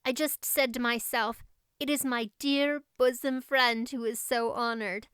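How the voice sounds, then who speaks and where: A dreamy, romantic young girl played by a woman narrator in a storybook reading. Her voice is high and clear, gushing with breathless drama, and it can turn lofty. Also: fairly even intonation, measured pace, a bit bright, no room sound, clean studio sound. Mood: thrilled, proud. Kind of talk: audiobook character voice.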